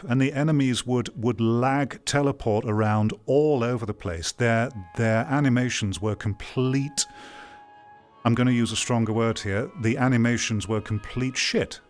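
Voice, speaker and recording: A man in his thirties giving blunt, off-the-cuff critical commentary as a streamer, feeling out his phrasing as he goes. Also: medium pitch. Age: 30s. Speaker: streamer reacting to a video.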